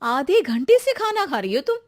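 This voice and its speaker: A woman's voice: sits high, very animated, normal speed, pitched above the performer's own voice. A woman voicing a boastful, impatient young daughter-in-law in a cartoon moral story, with a teasing, scolding manner.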